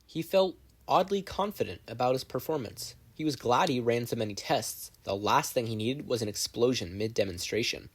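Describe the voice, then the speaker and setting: A narrator reading a fan fiction story aloud in an expressive scripted style. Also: medium pitch, normal speed, few pauses, slightly rough. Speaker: podfic narrator.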